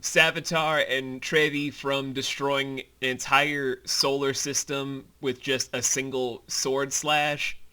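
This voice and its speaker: A man's voice: mid-range voice, level tone, slow pace, few pauses, sharp tone, clear, resonant tone. A man co-hosting a behind-the-scenes commentary as the creator, chatting casually and explaining things in an enthusiastic, rambling way.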